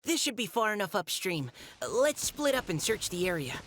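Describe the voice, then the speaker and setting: A woman's voice: medium pitch, very animated, moderate pace, background noise, close to an everyday voice. A woman voicing a composed, knowledgeable young adventurer in a video game. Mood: focused. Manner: explanatory, composed. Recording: music behind.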